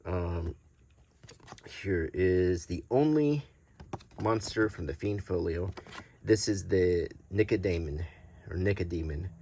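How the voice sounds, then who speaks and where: A man presenting collectible cards in a casual, unscripted show-and-tell, halting and pausing often as he describes things. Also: sits low, warm tone, slight background noise. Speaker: host presenting vintage collectible game cards.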